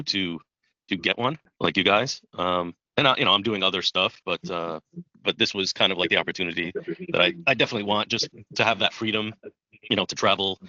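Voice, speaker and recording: Hesitant guy on a sales call, lots of uhs, bright tense voice, muffled audio. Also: deep voice, no echo. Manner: hesitant, many fillers. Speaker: sales prospect.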